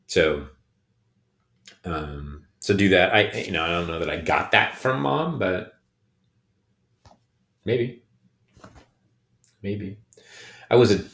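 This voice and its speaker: Low-voiced man, halting and reflective. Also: gravelly, a bit bright. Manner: hesitant, many ums and restarts. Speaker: podcast co-host. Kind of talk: reflective casual conversation. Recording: echoey room.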